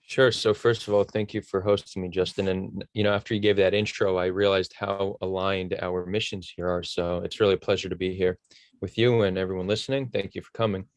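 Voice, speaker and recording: An American man from New Jersey speaking as a guest, telling his personal story in a measured, reflective, conversational way. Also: low voice, level tone, no echo. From New Jersey, United States. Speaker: guest speaker, initiative founder.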